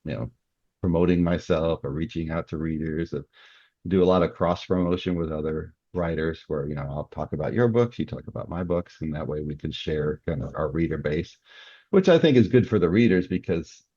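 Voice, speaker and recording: Guy with a super deep voice, author being interviewed, chatty and explanatory, dry muffled lo-fi mic. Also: a little fast, warm tone, slightly rough, no room sound. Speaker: guest author. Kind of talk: relaxed interview conversation about writing. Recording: dull, muffled lo-fi audio.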